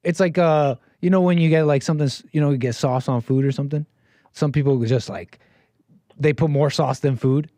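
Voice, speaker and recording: A man's voice: on the high side, fairly quick, dark tone, no echo. An American man hosting a call-in show, rambling casually with lots of restarts and laughs.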